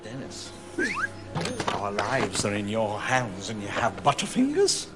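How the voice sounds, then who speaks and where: An actor playing a jovial, elderly, proud park owner in a game cutscene. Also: male voice, on the low side, wildly swooping pitch, measured pace, over loud music. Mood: exasperated. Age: older. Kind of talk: film dialogue in a game cutscene.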